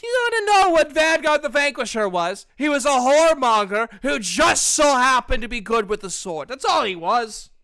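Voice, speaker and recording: A man's voice: very high voice, lively intonation, normal speed, hardly any pauses, sharp tone, clear, resonant tone, no room sound, studio quality, pitched above the performer's own voice, full character voice. A man voicing a bitter, cynical, foul-mouthed guild leader who drinks and rants scornfully in a tabletop game. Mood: angry scorn. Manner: ranting, scornful.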